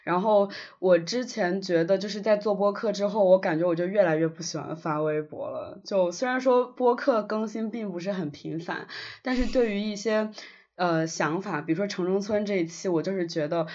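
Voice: mid-range voice, moderate pace, a little mellow. A podcast co-host talking in a casual, reflective way. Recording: slightly dull, lo-fi podcast recording.

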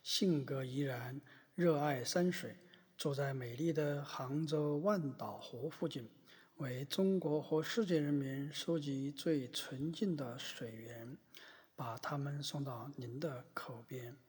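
A man's voice: medium pitch, slow, a little mellow, slightly rough. A man playing a smug, self-righteous company boss making his plea as plaintiff in a satirical courtroom drama. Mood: smug, lofty. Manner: pompous, self-praising, grandiose. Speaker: voice actor in a podcast courtroom drama. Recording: echoey hall reverb.

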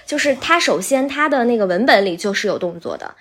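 A woman with a bright, sweet voice, explaining things step by step in a casual podcast interview as a voice actor guest. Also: on the high side, animated, brisk.

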